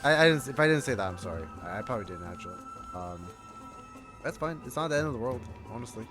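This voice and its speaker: A man's voice: medium pitch, lively intonation, fast talker. A man livestreaming a game, reacting casually and reading text aloud in informal, self-interrupting chatter. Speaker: game streamer. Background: game music.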